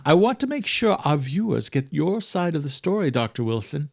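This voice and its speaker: A man voicing a gushing, brisk woman television presenter in an audiobook, with polite enthusiasm. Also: fairly high pitch, very animated, gravelly, voice pushed up, heavily acted. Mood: thrilled, brisk.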